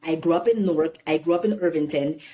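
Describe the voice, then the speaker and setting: A woman's voice: low voice, fast talker. A woman in her thirties, born in Haiti, telling stories animatedly in a casual interview and leaning often on "you know". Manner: animated storytelling, many "you know" fillers. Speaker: guest.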